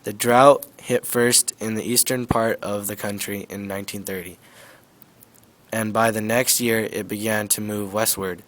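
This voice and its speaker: A young man reading a school-project news report on the radio era, quick and loud. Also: fairly low pitch, steady tone. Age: teens.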